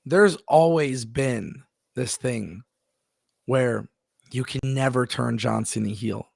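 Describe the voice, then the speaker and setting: A man's voice: medium pitch, takes it slowly, creaky, darkish tone, no room sound, studio quality. A man hosting a live wrestling talk stream, speaking emphatically and reading out viewer messages. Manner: emphatic, repeats words, reads viewer messages aloud. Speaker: wrestling show host. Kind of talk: casual live-stream chat.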